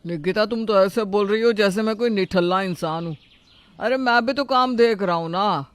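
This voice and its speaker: A man plays an argumentative young husband in a storybook drama. His voice is very high and crisp, and he complains indignantly in a dry recording. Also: moderate pace, fairly bright tone, dry recording. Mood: defensive.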